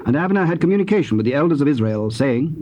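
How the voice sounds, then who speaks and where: A man narrating scripture from a script in a measured, formal storytelling manner. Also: on the high side, lively intonation, a little mellow. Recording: noisy old cassette tape transfer.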